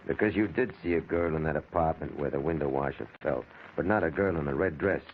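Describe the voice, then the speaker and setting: Deep-voiced, cold racketeer, lo-fi radio. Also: male voice, brisk. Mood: cold menace. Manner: tough, blunt, matter-of-fact. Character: cold, confident male racketeer, casually menacing. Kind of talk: old-time radio crime drama acting. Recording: lo-fi, noisy old radio recording.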